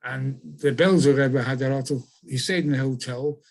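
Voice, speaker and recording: An older man, born in Chile and raised in England, answering interview questions in a halting way, often restarting his phrases. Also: medium pitch, steady tone, darkish tone. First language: Spanish. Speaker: author and guest.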